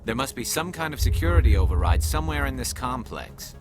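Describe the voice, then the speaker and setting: A man's voice: medium pitch, sing-song, fairly quick, music in the background, close to an everyday voice. A man playing a determined, practical soldier in a sci-fi video game, with a terse, purposeful delivery. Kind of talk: scripted video game dialogue.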